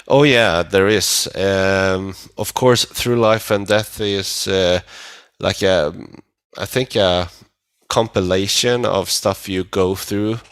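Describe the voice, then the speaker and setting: Male interview guest, low-pitched and unhurried, feeling his way through each answer. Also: fairly even intonation, clean tone, dry recording. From Sweden. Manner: halting, searching for words. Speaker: band vocalist, interview guest. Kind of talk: casual interview.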